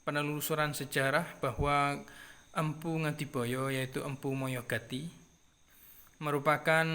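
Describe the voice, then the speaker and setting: A man with a Madurese accent and a clearly nasal voice, talking in an easy, unhurried way to his viewers. His tone is bright and forceful, set a little above the usual male range. He repeats phrases and drops in soft filler sounds as he goes. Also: a little high. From Jember, East Java, Indonesia. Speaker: keris collector. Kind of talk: casual vlog talk to viewers.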